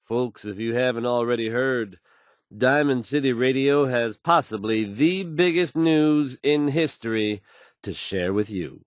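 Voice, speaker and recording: An actor playing a confident, smooth male radio news host in a video game, folksy and dramatic in his announcing. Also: medium pitch, measured pace, no echo, natural voice. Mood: showy intrigue.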